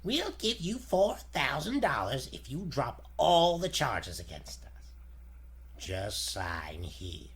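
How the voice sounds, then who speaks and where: A man's voice: mid-range voice, sing-song, slow pace, a bit bright, voice pushed down. A man voicing an unscrupulous, taunting radio villain, a crooked businessman with slangy talk in 1940s serial style. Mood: smug, taunting.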